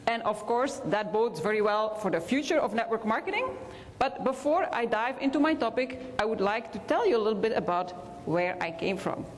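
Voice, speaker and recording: A woman's voice: on the high side, lively intonation, brisk. A woman from Belgium with a Belgian accent, telling personal stories as a convention speaker, with small hesitations. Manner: warm anecdotal storytelling with hesitations. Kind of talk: motivational keynote storytelling. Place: large convention hall. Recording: reverberant convention hall.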